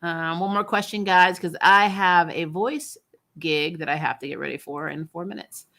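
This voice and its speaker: Blunt, bright-voiced woman reviewer. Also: on the low side, moderate pace. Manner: blunt, unfiltered, swears freely. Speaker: host of a TV recap show, reviewer. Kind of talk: casual livestream chat answering viewer questions.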